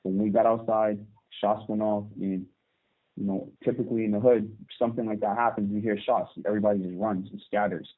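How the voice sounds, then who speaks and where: A man in his thirties from Barbados, calling in from prison and telling his story calmly, with hesitant restarts. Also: fairly low pitch, brisk. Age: 30s. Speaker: guest. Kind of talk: phone interview. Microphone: phone call. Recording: tinny phone line.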